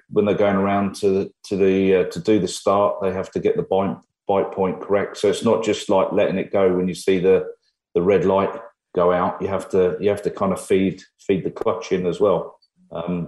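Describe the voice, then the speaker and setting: A man with an English accent, co-hosting a racing talk show, explaining things slowly with many hesitations. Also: low voice, darkish tone, reverberant. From Ireland. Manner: hesitant, full of ums. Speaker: co-host. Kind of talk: casual sports talk.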